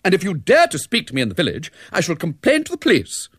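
Man putting on a haughty, high-voiced young lady. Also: wildly swooping pitch, moderate pace, dry recording, studio quality, exaggerated voice. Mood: haughty, indignant. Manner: haughty, crisp upper-class speech. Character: haughty, indignant attractive young woman, easily flustered.

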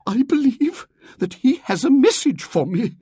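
A woman voicing a pompous, stern elderly spinster who declaims loudly with a tremble of eagerness. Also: high voice, sing-song, unhurried, slightly rough, dry recording, studio quality, voice pushed up, full character voice. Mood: solemn, eager.

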